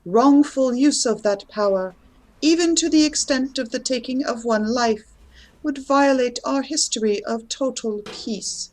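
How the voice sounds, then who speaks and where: A woman playing a solemn, unyielding female alien councillor in a sci-fi fan audio drama, speaking formally and gravely. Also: sits high, unhurried, darkish tone, rough recording. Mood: solemn, resolute.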